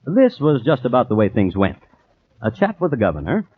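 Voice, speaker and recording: An actor playing a wry, theatrical storyteller narrating pirate exploits in a radio drama. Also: male voice, mid-range voice, wildly swooping pitch, fairly quick, breathy edge. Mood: wry, jaunty. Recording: very noisy, tinny old radio recording.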